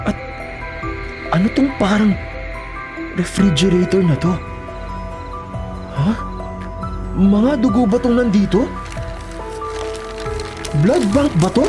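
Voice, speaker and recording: A man's voice: high-pitched, slow pace, long pauses. An actor playing a naive, anxious young man from the provinces, polite and given to stammering, in a radio drama. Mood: alarmed. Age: young adult. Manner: stammering when startled, polite. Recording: music bed under the voice.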